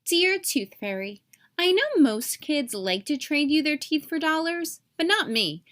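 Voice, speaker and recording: High-pitched, stubborn little boy, voiced by a woman. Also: expressive, a little slow, a bit bright. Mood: determined. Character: stubborn young boy guarding his lost teeth.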